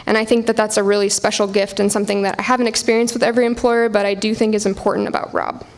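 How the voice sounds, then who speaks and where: Even-toned American woman giving public testimony. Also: mid-range voice, very fast. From Portland, Oregon, United States. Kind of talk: prepared public testimony to officials. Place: public hearing in a university hall.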